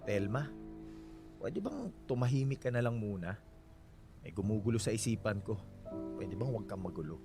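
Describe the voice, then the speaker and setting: An actor playing a bitter, jealous husband in a Filipino radio drama, curt, sarcastic and accusing. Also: male voice, fairly low pitch, lively intonation, normal speed, pauses often, over music. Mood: sullen, sarcastic.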